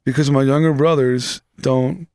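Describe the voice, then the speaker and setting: Brief: New man, 30s, gravelly and dry, loose profane podcast co-host. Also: mid-range voice, steady tone, normal speed, darkish tone, no room sound. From New York City. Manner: loose, profane, full of fillers and asides. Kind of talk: casual chat. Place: small studio room, indoors. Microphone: studio mic close up.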